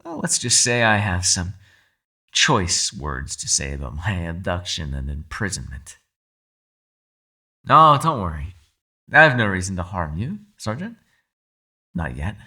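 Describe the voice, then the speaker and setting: A man's voice: deep voice, sing-song, unhurried, long pauses, bright, forceful tone, breathy edge, full character voice. A man playing a captive kitsune, a sly and menacing fox spirit, with silky, taunting, mock-polite delivery in an ASMR roleplay. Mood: proud, cool.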